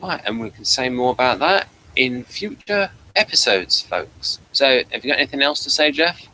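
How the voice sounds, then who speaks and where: A man hosting a review show, chatting casually in a hesitant, halting way and often repeating words. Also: medium pitch, very animated, sharp tone, low-quality recording. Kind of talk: casual audio drama review chat.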